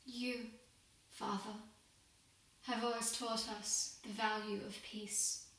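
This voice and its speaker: A woman's voice: on the high side, level tone, a bit bright. A young woman playing a guilt-ridden, devout working woman of the industrial era in a solemn, formal confessional monologue. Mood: solemn, penitent. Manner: solemn, deliberate, formal oratory. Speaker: student performer. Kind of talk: dramatic monologue, confessional prayer. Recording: very echoey room, distant microphone.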